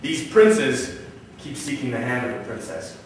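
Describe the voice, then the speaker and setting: A man's voice: mid-range voice, wildly swooping pitch, measured pace, soft background music. Man playing a scheming evil king in an echoing hall. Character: scheming evil stepfather king, gloating and menacing. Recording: echoey hall from far, faint noise.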